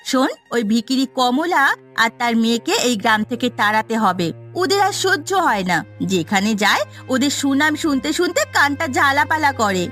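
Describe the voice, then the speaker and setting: A high-voiced, spiteful scheming village mother. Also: expressive, moderate pace, fairly bright tone. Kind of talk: Bengali fairy-tale cartoon voice acting. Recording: music under the voice.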